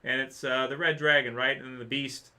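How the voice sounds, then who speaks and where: A man with a very bright, forceful tone talks loosely through chat questions on a livestream. He pauses, restarts and fills gaps with "um" and "uh". His voice is wearing thin, as he says he is losing it. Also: mid-range voice, level tone. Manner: rambling, hesitant, many fillers and restarts. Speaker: livestream host answering chat.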